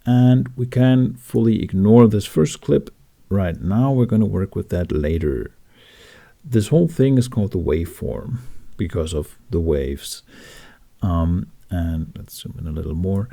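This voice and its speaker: A man narrating a how-to tutorial, explaining things step by step with deliberate phrasing and pauses. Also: low-pitched, vocal fry, warm tone. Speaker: tutorial host. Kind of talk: instructional narration for a how-to video.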